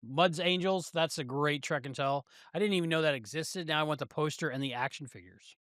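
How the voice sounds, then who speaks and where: Bright, higher-pitched man chatting. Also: fairly quick, no room sound, studio quality. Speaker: podcast co-host. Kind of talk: casual chat, review podcast.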